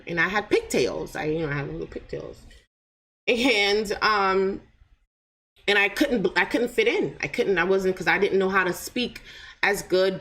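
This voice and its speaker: Woman, light Jamaican accent, lowish voice, chatty personal storytelling, keeps asking "right?". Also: fairly bright tone. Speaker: channel host.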